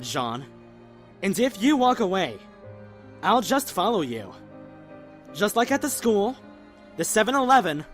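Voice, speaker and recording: A man playing a caring, earnest young survivor in a zombie apocalypse audio drama, mild-mouthed and gentle but stubborn. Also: high-pitched. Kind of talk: fan audio drama acting.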